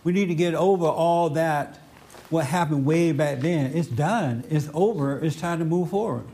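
A man's voice: fairly high pitch, normal speed, warm tone. A man hosting an unscripted, opinionated group discussion. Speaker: host leading a group discussion.

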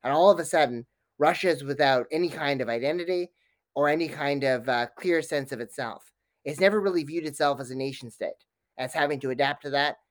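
A man's voice: a little high, a little fast, dry recording. A man speaking as an academic guest in an interview, giving fluent, detailed explanations.

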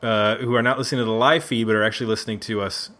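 A man's voice: fairly low pitch, steady tone, very fast, pushed and bright, a little hiss or hum. Chatty man hosting a podcast. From Buffalo, United States. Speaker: podcast host. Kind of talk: casual round-table music podcast chat. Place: indoors at a neighbor's house.

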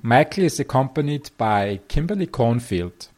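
A man hosting a podcast who reads a formal introduction and then asks interview questions in a measured way. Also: mid-range voice, very animated, darkish tone. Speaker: podcast host.

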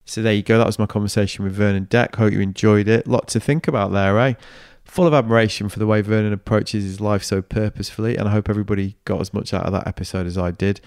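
A man's voice: on the low side, fairly even intonation, fast, hardly any pauses, darkish tone, smooth, no room sound, studio quality. A man hosting a podcast, chatty and upbeat, trading banter and wrapping up the episode on his own. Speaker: podcast host. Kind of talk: casual chat and solo wrap-up.

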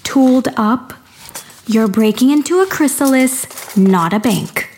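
A woman's voice: fairly high pitch, expressive, a little slow, a little mellow. A woman playing a cheeky, matter-of-fact lab assistant in an audio drama. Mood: brisk, teasing.

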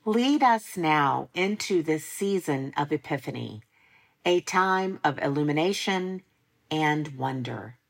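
Woman, solemn prayer reading, very slow pace, fry in the voice, measured phrasing. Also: sits low, expressive. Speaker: prayer leader.

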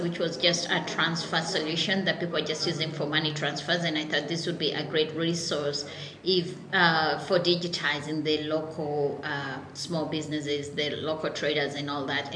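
Kenyan woman in her 40s, low bright voice, laughs a lot telling her story, echoey room with faint noise. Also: normal speed. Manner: laughs often, animated storytelling. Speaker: founder and CEO guest. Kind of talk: casual interview.

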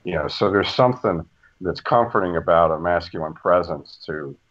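An older man with a deep voice, a podcast guest telling stories slowly and with many restarts. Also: fairly bright tone. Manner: slow, measured storytelling with frequent restarts. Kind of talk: casual podcast conversation. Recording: dull lo-fi audio.

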